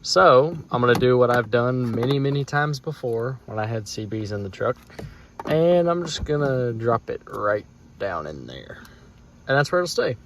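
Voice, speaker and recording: A man's voice: medium pitch, animated, moderate pace, a bit bright, slight background noise. An American man talking casually and thinking aloud as he hosts a hands-on truck tutorial in a folksy, informal way. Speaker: DIY truck vlog host.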